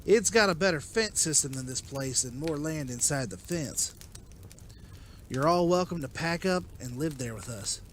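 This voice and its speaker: Male actor as persuasive survivor leader, earnest, very lively intonation, motion-comic drama, faint background noise. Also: fairly high pitch, moderate pace. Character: Rick, earnest, persuasive man leading zombie-apocalypse survivors. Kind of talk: dramatized comic-book voice acting.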